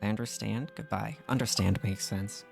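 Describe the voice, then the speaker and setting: A man's voice: fairly low pitch, fairly even intonation, normal speed, creaky, a little mellow, faint music behind. A man streaming a game, chatting casually, musing aloud in questions and reading dialogue choices out. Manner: informal, muses aloud with questions.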